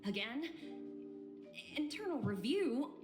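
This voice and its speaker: A woman's voice: high voice, big pitch swings, takes it slowly, echoey room, over music, heavily acted. A woman voicing an earnest, formal young corporate manager in a video game, precise and businesslike. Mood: exasperated.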